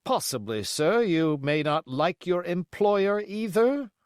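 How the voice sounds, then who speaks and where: Male narrator playing haughty squire, audiobook character, touchy, indignant, pompous, raised pitch, dry close sound. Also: animated, slow pace, clear, resonant tone, no echo. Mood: angry, affronted. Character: haughty, touchy country squire, quick to anger.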